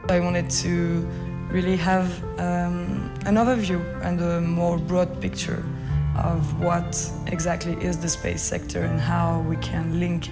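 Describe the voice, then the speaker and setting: A woman speaks in a gravelly, rather low and level voice, reflecting with small pauses. Music plays clearly behind her. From France. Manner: thoughtful, with pauses and fillers. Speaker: program participant, PhD student. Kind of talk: video testimonial. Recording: voice over background music.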